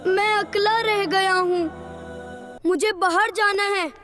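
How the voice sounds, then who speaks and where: Earnest, well-behaved young cartoon child, very high and unhurried, played by a woman. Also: steady tone, over music. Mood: frightened. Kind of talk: children's moral cartoon dialogue.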